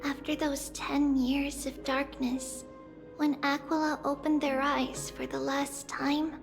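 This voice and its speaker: A woman playing a composed young girl guide in a game, measured, knowing and earnest. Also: high voice, little pitch movement, vocal fry, in a large hall, over music, exaggerated voice. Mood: calm, solemn. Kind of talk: dramatic game voice acting.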